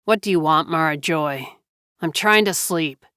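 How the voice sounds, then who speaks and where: A woman's voice: sits low, measured pace, a bit bright, clear, resonant tone, no echo, clean studio sound. A woman narrator voicing a resentful young husband who answers curtly and crossly. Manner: curt and cross.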